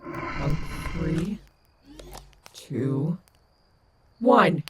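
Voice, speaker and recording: A woman's voice: low voice, lively intonation, drawn-out words, very noisy, full character voice. A woman playing a confident, protective animatronic wolf in an audio roleplay, sarcastic but tender toward her companion. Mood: alarmed.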